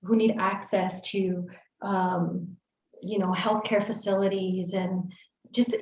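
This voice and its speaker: A woman's voice: medium pitch, steady tone, takes it slowly, a little mellow, reverberant, poor audio. A woman hosting an interview, switching between English and Spanish with many fillers. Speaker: interview host. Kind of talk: conversational interview.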